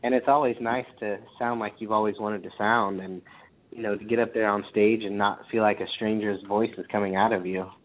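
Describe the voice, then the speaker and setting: Man, low pitch, casual interview talk, musician guest, tinny phone-line sound. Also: fairly even intonation, brisk. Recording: thin phone line, radio show.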